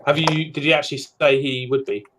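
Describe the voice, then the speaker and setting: Loud chatty guy on a stream call, laughs a lot, faint hiss. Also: on the high side, little pitch movement, brisk. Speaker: stream co-host. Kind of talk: casual chat.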